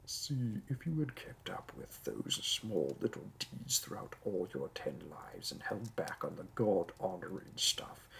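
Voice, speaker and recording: A low, creaky-voiced male demon , acted out by a man works as a bureaucrat of hell in a roleplay audio drama. He is dry and condescending, offering mock sympathy with wicked politeness. Faint noise sits behind the voice. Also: a bit bright, exaggerated voice, low-quality recording. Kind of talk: roleplay audio drama, one-sided interview.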